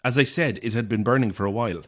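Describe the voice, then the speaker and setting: A man's voice: mid-range voice, fast, lightly acted. An actor playing an anxious, isolated young Irish man, edgy and sardonic, in a naturalistic audio horror drama. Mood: defensive, flustered.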